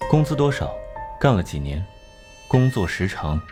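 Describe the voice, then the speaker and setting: An actor playing a cool, shrewd detective in an animated crime drama, questioning in a terse, dryly sardonic way. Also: male voice, low voice, moderate pace, over music. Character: cool, shrewd, acerbic male detective, unflappable interrogator.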